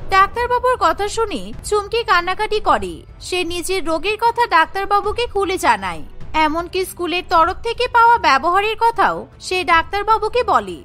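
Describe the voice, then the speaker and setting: Woman story narrator: piercing high pitch, animated, dramatic, music bed underneath. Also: normal speed. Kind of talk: scripted cartoon story narration.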